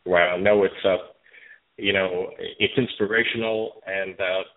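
A man hosting a conversational interview, appreciative and halting, often repeating words as he works toward his question. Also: low-pitched, little pitch movement. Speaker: show host, interviewer. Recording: narrow phone-like sound.

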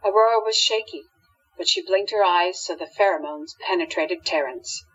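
A woman's voice: lowish voice, normal speed, a bit bright, slightly rough. A woman narrating a scripted radio drama as storyteller, on dull lo-fi audio.

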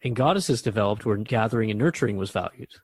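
A man's voice: on the low side, animated, fast, slightly rough, no echo. A man playing a curious radio host in a radio drama, asking thoughtful questions in a conversational, slightly hesitant way. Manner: inquisitive, conversational, hesitant asides. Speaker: radio host interviewing the Keeper.

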